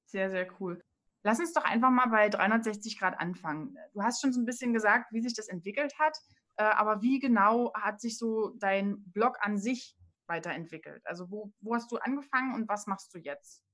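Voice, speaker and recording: A young adult woman hosting a podcast, reading a smooth introduction and asking friendly, casual questions. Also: mid-range voice, a little fast, fairly bright tone. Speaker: podcast host.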